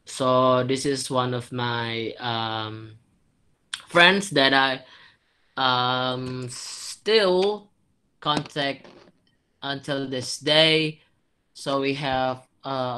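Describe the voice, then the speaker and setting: Young indonesian guy talking slow, lots of ums and restarts, casual online talk. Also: medium pitch, pushed and bright, slight background noise. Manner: halting, many fillers and restarts. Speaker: former exchange student speaker. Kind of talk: informal virtual talk.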